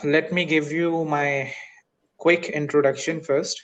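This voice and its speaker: A man from Pakistan with a Pakistani accent, an English teacher chatting politely on a video call from a school, with frequent pauses and fillers. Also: on the high side, level tone, a bit bright. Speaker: English teacher, channel host. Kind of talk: casual video-call conversation. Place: school, indoors.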